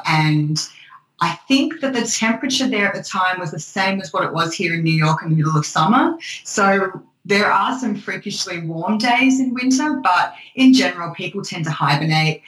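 Australian woman, fairly low voice, guest on a podcast, friendly and measured, echoey room. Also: a bit bright. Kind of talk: casual interview chat.

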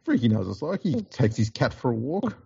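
A man's voice: medium pitch, sing-song, brisk, vocal fry, mellow, breathy edge, dull audio. A man with an Australian accent hosting a casual sports podcast, teasing his co-host and reeling off stats. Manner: joking, teasing, reels off stats.

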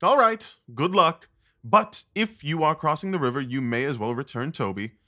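A man playing a brooding, guarded Victorian gentleman detective in an audio drama, speaking in a clipped, formal, world-weary way. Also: on the high side, normal speed. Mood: brisk.

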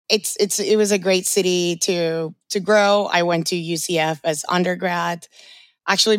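Female startup founder from Colombia, measured and friendly, lowish pitch, dry sound. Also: no room sound. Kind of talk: podcast interview.

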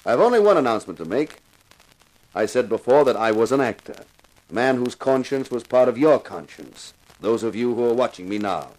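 An actor playing a tough, commanding detective and host in a 1950s radio crime drama, with a stern, sardonic, theatrical delivery. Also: male voice, mid-range voice, sing-song, fairly quick, a little mellow, natural voice, poor audio. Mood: solemn.

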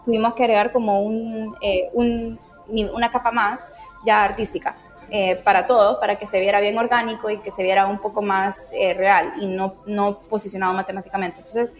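A woman's voice: mid-range voice, level tone, moderate pace, soft background music. A woman from Honduras, giving casual interview answers in an enthusiastic, explanatory way. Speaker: interviewee, project team member.

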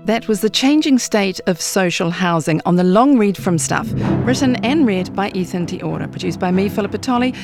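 A woman's voice: medium pitch, animated, fairly quick. A woman reading a scripted podcast outro as its producer and presenter. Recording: music behind the voice.

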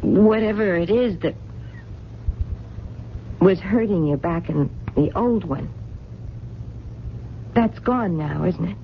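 A woman's voice: fairly low pitch, lively intonation, slow, long pauses. An actress in a radio drama playing a lonely, romantic, stubborn working woman with plain colloquial speech. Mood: tender, confiding. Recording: dull, noisy 1970s radio recording.

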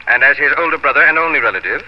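Actor as a pompous, stern colonial uncle, muffled. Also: male voice, mid-range voice, lively intonation, racing, close to an everyday voice. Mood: indignant. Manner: pompous, indignant. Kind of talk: 1950s radio drama acting. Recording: muffled, slightly noisy old radio recording.

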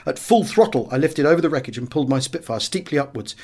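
A man with a British accent reads a first-person memoir as an audiobook narrator, in a measured, expressive storytelling manner. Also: on the high side. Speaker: audiobook reader.

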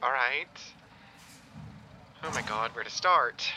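Flamboyant, bright-voiced, dramatic ex-boyfriend. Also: male voice, medium pitch, slow, slight background noise, rough recording. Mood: anxious, confessing. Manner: theatrical, gushing, rambling. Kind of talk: audio comedy-drama acting.

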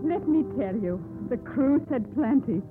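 A woman's voice: high voice, animated, a little slow, over music. Headstrong young seafaring woman, tinny radio. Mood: lyrical narration. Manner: insistent, poetic. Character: headstrong young sea captain's daughter of 1845. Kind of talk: 1950s radio drama acting. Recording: muffled, narrow-band old radio broadcast.

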